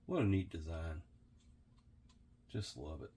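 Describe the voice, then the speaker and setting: Very deep-voiced hoarse man. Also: lively intonation, warm tone. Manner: unhurried, chatty, admiring. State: hoarse and sniffly. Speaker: vintage reel restorer. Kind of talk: casual workbench tutorial.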